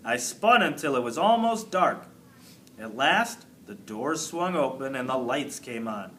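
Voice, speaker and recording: A man reading a children's book aloud as an expressive narrator, like a teacher to a class. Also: mid-range voice, a little slow, a little hiss or hum.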